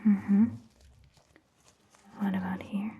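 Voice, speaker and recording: A woman whispering softly and reassuringly in an ASMR roleplay as a calm, caring vampire doctor, close to the microphone. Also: medium pitch, extremely slow, narrow, tinny audio, slight background noise. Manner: soft whispering, gentle and reassuring.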